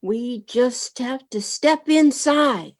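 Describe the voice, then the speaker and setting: A woman's voice: a little high, expressive, slow pace, a little mellow. A woman playing a stern, spirited older grandmother who speaks firmly in an amateur radio play. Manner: firm, scolding.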